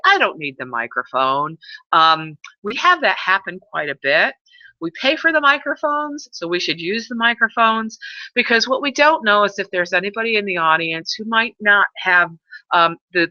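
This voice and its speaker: A woman organizing a conference, briefing presenters in an earnest, advising way with many fillers and a loud voice. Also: deep voice, no echo. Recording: muffled lo-fi webinar audio.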